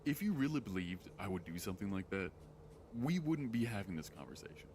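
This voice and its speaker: A man acting a grave, patient mentor of a secret order in an audio drama, speaking in measured, formal phrases. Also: deep voice, big pitch swings, darkish tone, a little hiss or hum. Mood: solemn, probing. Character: solemn, patient male mentor of a secret circle.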